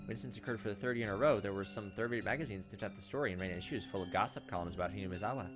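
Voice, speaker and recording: Man, low pitch, quick pace, visual novel narration, casual commentary, music and insects behind. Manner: casual asides between read lines. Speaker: let's player reading game text aloud.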